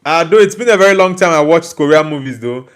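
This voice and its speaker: A young man with a Nigerian accent hosts a casual live football stream. His voice sits a little high. He talks loosely, with plenty of fillers and restarts as he answers the chat. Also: animated, fast. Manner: loose, hesitant talk with many fillers and restarts. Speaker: football channel host reading live chat.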